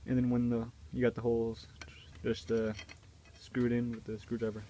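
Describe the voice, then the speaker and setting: Guy doing an offhand diy how-to, pretty monotone, mid pitch, noisy car sound with clicks. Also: moderate pace. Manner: informal, offhand. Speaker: do-it-yourself video presenter. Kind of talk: casual hands-on how-to instruction. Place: in and around a car.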